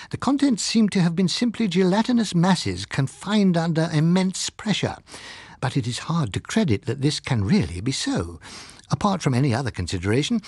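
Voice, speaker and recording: A man voicing a learned, pompous scientist in an audiobook, speaking in a deliberate, drily ironic, lecturing manner. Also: a little high, darkish tone, breathy edge, no room sound, studio quality, full character voice. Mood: pondering, analytical. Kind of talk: dramatised audiobook reading.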